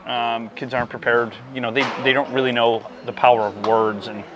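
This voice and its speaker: A middle-aged man co-hosting a casual baseball talk, conversational and often trailing off softly, on a lapel mic in a cluttered equipment room. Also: mid-range voice, flat delivery, fairly quick, dull audio, crowd noise. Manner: conversational, trailing off into softer asides. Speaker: baseball program coach and co-host. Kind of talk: casual podcast chat.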